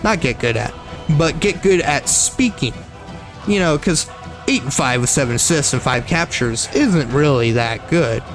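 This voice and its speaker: A man's voice: medium pitch, expressive, unhurried, music in the background. A young man chatting non-stop while playing a shooter game, rambling, repeating and correcting himself, with a slight frog in his throat. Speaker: gaming streamer. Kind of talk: casual gameplay commentary.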